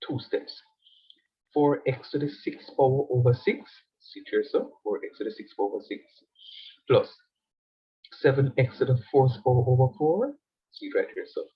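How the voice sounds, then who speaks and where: A man's voice: medium pitch, slightly rough, highs cut off. A man teaching a math lecture, nasal and stuffed up from a cold. He repeats his points and keeps checking that the class follows him. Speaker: university lecturer.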